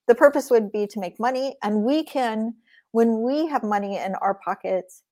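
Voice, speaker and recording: A woman's voice: a little high, moderate pace, a little mellow. A woman hosting a podcast, chatting with a guest over a video call in an upbeat, affirming way. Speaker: podcast host. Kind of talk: casual interview chat.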